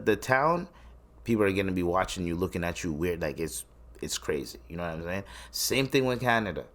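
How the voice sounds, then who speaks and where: Deep-voiced man ranting casually. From Tanzania. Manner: rambling, profane, laughs between points. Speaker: podcast host. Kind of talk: casual solo podcast commentary.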